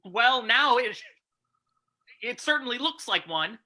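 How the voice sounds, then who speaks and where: Woman as sharp, bright-voiced uneasy townsman. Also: on the high side, animated, takes it slowly, exaggerated voice. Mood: curious, uneasy. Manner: earnest, wondering. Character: steady small-town man, curious and uneasy. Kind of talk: old-time radio drama reading.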